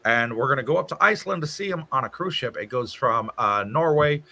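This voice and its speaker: A man's voice: medium pitch, animated, brisk, pushed and bright. A man hosting a casual livestream from his home, reading out viewer comments and answering them in a chatty way. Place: home in Thailand.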